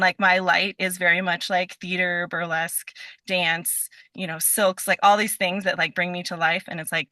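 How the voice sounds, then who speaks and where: A woman guest in a casual interview with a bright, forward voice and a dry sound. She is effusive and giggly, wandering off into asides as she talks. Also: lowish voice, no echo. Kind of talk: casual chat.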